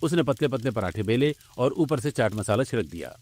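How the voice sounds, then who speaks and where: A man's voice: mid-range voice, lively intonation, fairly quick, darkish tone. A man reading scripted narration for a family cartoon story.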